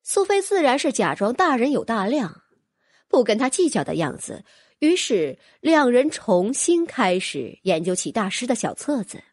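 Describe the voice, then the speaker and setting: A woman's voice: fairly high pitch, very animated, normal speed, a little mellow, husky, dry recording, clean studio sound. A woman reading a fantasy novel as scripted audiobook narration.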